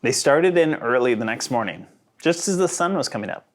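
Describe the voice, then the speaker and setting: A man reading a children's picture book aloud in an expressive storytelling manner. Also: medium pitch, fairly quick. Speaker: storybook reader.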